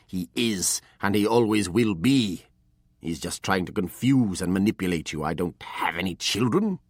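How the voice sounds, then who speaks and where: A man playing a stern, gruff old master. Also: deep voice, no echo, clean studio sound. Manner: slow, deliberate and reproachful.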